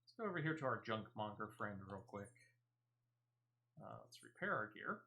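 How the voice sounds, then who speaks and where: Male game streamer, chatty and emphatic, bright tone, very animated intonation, off-the-cuff commentary. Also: lowish voice, normal speed, vocal fry. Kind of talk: casual live gameplay commentary.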